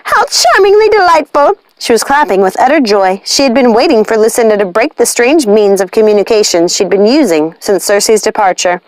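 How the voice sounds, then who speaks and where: A woman's voice: medium pitch, fairly quick, hardly any pauses, darkish tone. A woman narrating a scripted story as an audiobook reader.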